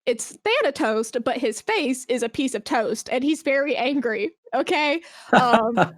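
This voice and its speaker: A woman with a fairly high voice talks as a guest in a casual interview. She is enthusiastic and informal, swearing freely. The sound is very dry, with no room echo. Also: lively intonation, measured pace. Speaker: podcast guest, esports brand manager.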